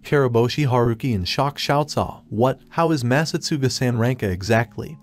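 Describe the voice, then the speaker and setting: A man narrating a manga story recap in an even, flat third-person retelling with stiff, translated-sounding phrasing. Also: mid-range voice, moderate pace.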